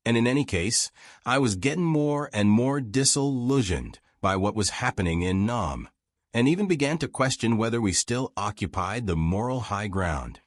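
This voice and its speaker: A man's voice: on the low side, expressive, normal speed, no echo. A man voicing a frank, wry old American war reporter reminiscing in folksy, self-deprecating audiobook dialogue. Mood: rueful.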